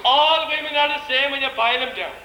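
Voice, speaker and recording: A man playing an excitable, wailing comic suitor with theatrical exclamations in an amateur stage pantomime. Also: extremely high pitch, a little fast, a faint crowd. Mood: comic, curious. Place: village hall stage. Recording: noisy, echoey recording of hall stage show.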